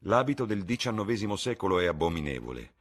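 Guy putting on a husky, airy aristocrat, raised and loud, swoops all over the place, mocking and theatrical. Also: sits low, very animated, fast, pitched above the performer's own voice. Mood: languid. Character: witty languid aristocratic gentleman, older man. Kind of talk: audiobook character voice.

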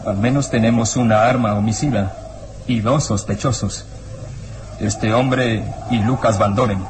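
A man's voice: fairly low pitch, fairly even intonation, a little slow, noisy background, natural voice. A man playing a skeptical police inspector in a radio drama, with an earnest, insistent manner. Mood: firm, skeptical. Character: skeptical, firm police inspector, uneasy of danger. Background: dramatic music.

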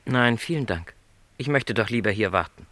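A man's voice: mid-range voice, lively intonation, moderate pace, bright, forceful tone. An actor playing a lonely middle-aged travelling salesman in a literary radio play, speaking with courteous, reflective resignation. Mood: quietly firm.

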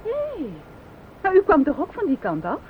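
An actress playing a flustered, evasive sister-in-law who stammers defensively in a radio play. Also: female voice, extremely high pitch, huge pitch swings, normal speed, exaggerated voice. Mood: defensive, indignant. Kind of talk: Dutch radio play acting. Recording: tinny, narrow-band noisy old radio recording.

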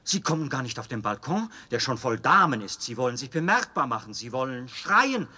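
An actor playing a fervent, fanatically devoted officer in a German radio drama, speaking with insistent, theatrical persuasion and a husky voice. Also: male voice, mid-range voice, very animated, fairly quick, bright, forceful tone, heavily acted. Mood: agitated, desperate.

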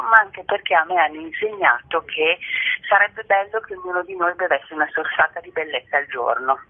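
A woman explaining things in an articulate, reflective and didactic way during a radio interview. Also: low voice. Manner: articulate, didactic, uses rhetorical tag questions. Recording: tinny, narrow phone-line sound.

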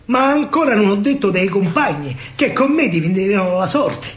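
A man with a Roman accent performing a theatrical verse monologue in dialect, expressive, dramatic and colloquial. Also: high-pitched, normal speed, over loud music. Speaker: stage actor and storyteller.

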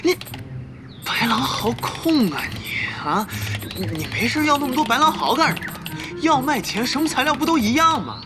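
A woman playing an excitable, easily exasperated guild player in a Chinese audio drama, blurting complaints and pleas. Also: high voice, normal speed. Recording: loud music behind the voice.

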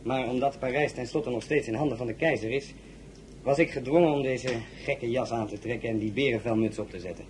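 Actor as a formal, mid-pitched aristocratic officer. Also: male voice, fairly quick, slight background noise, lightly acted. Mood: slightly aggrieved. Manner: earnest, formal, reporting. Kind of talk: radio drama acting.

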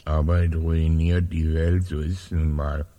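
An actor playing a grumpy, world-weary old knight in a German radio play, curt and dismissive. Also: male voice, rumbling low, flat delivery, slow pace, dark tone, big-room sound. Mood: irritable.

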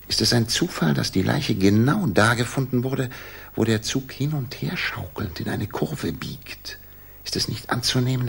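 An actor playing a brilliant, brisk and commanding detective in a 1960s German radio drama, musing and deliberate as he speaks with authority. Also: medium pitch, gravelly, breathy edge, heavily acted.

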